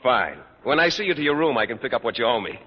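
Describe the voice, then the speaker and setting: An actor playing a shy, earnest biology teacher, hesitant and literal, in a vintage radio sitcom. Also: male voice, mid-range voice, lively intonation, fast talker, natural voice. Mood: earnest, oblivious. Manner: hesitant, awkward, literal-minded. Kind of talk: 1940s-50s radio sitcom acting. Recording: very noisy, muffled vintage radio recording.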